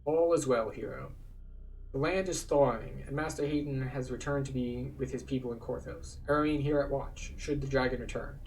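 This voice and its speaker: A man's voice: medium pitch, fairly even intonation, moderate pace, a little mellow, far from the mic, a little hiss or hum, close to an everyday voice, poor audio. A man voicing an earnest, solemn quest-giver who briefs an adventurer in a fantasy game. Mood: solemn, instructive. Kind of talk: read-aloud fantasy game dialogue.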